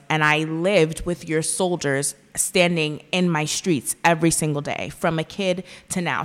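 Young woman, low voice, earnest, halting, casual podcast talk. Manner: earnest, halting, many fillers. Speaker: podcast co-host.

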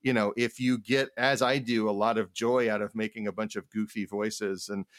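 A man co-hosting a casual advice chat about game mastering, halting and rambling as he thinks aloud. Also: lowish voice, flat delivery, no room sound, studio quality. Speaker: podcast co-host and game master.

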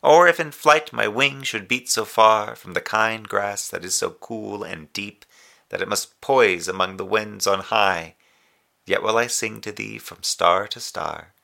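An actor playing a middle-aged, conscientious moonbase communications officer in a sci-fi audio drama, with a gravelly, wry, weary delivery. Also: male voice, fairly low pitch, pushed and bright, full character voice. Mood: tender, wistful. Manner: formal reporting with dry sarcasm and tenderness. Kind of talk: audio drama monologue as a radio report.

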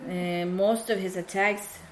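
Woman podcast co-host, hoarse from illness, bilingual casual storytelling, faint noise. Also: lowish voice, fairly even intonation, unhurried, rough recording. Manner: switches between English and Spanish mid-sentence. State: sick, coarse voice. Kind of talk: casual true-crime chat.

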